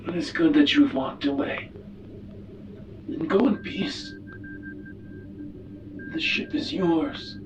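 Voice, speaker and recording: Slow, solemn alien statesman played by a man. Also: on the high side, darkish tone, recorded from a distance, muffled recording, heavily acted. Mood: solemn, resigned. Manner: formal, solemn, measured speech. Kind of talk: in-character tabletop roleplay.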